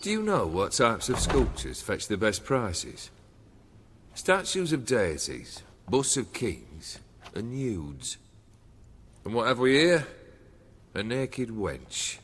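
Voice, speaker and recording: A man's voice: mid-range voice, huge pitch swings, takes it slowly, a bit bright, full character voice. A man playing a jaded noble outlaw chief in a video game, languid, philosophical and theatrical. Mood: jaded, musing. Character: jaded, world-weary nobleman leading a band of outlaws. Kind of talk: video game cutscene acting. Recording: long reverb, faint background noise.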